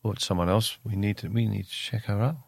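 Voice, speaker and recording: A man's voice: on the low side, steady tone, fast, vocal fry, a little mellow, slightly rough, no room sound, clean studio sound. A man chatting casually as a podcast co-host, conversational and seeking agreement. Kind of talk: casual chat.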